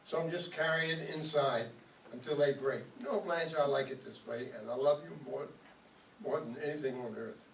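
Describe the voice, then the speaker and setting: A man's voice: mid-range voice, moderate pace, vocal fry, natural voice. Guy playing loving stubborn husband in old radio comedy, roomy sound, far from the mic. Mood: earnest, sentimental. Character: loving husband, sentimental then stubbornly devoted, comic radio sketch. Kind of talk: old-time radio comedy acting, live on stage. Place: live staged show in a hall. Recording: echoey hall, distant mic, faint noise.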